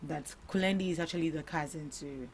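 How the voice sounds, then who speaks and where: A woman's voice: deep voice, brisk, a little hiss or hum. A young woman with a Ghanaian English accent, co-hosting a breakfast radio show, reading out headlines and discussing them.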